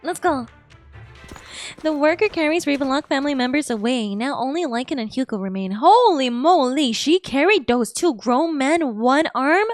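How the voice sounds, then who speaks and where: High, animated woman streamer. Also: moderate pace, dry recording, clean studio sound, soft background music. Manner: expressive, exclamatory, chatty. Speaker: game streamer. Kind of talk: casual live reaction commentary.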